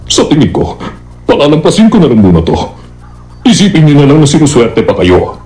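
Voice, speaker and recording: A man's voice: a little high, huge pitch swings, a little fast, darkish tone, soft background music. A man voicing a scheming, theatrical young villain wizard in a dubbed anime. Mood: wary, calculating.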